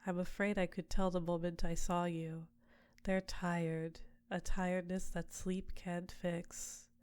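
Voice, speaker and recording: A woman voicing an ancient succubus queen in an audio roleplay, talking slowly and sultrily with soft whispers. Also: fairly low pitch, flat delivery, clean tone. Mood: tender.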